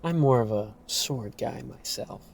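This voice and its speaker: A man voicing a cocky young swordsman in a dark fantasy audiobook, with a playful, taunting manner. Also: medium pitch, unhurried, creaky, breathy edge, voice pushed up. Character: cocky teasing young male fledgling swordsman.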